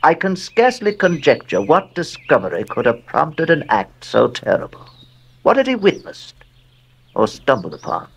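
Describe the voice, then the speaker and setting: An actor playing a skeptical, inquisitive heir to a cursed estate, musing and politely dismissive, in audio drama dialogue. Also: male voice, a little high, wildly swooping pitch, moderate pace, creaky, fairly bright tone, slightly rough, heavily acted. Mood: puzzled, probing.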